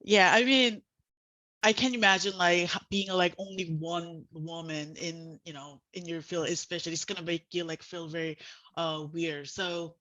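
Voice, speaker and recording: A woman moderating a student panel in a semi-formal way, with halting, informal phrasing, speaking on an online video call. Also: fairly low pitch, fairly even intonation, sharp tone, muffled recording. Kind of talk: semi-formal presenting and interviewing.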